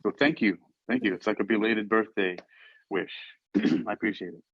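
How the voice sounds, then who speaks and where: A man's voice: lowish voice, normal speed, slightly rough, no echo. A man chatting casually as a podcast host about poetry, questioning things in a playful, mock-exasperated manner. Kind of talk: casual chat discussing and reading poetry. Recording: dull lo-fi sound.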